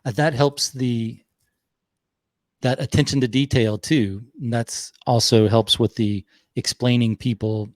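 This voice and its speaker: A man with a Southern US accent hosting a podcast, talking reflectively and unhurriedly, with pauses. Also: mid-range voice, fairly even intonation, a little mellow, no echo. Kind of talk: casual conversation.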